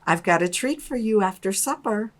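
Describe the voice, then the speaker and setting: An actress playing a loving, patient mother in a dramatised storybook, with a gentle and measured manner. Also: mid-range voice, lively intonation, close to an everyday voice. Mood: happy.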